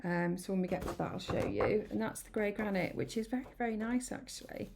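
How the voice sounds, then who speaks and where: A woman chatting through a card-making tutorial, a little croaky from hay fever, rambling and apologetic with many ums. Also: mid-range voice, sing-song, a little fast, noisy background. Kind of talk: casual craft tutorial. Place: home craft room.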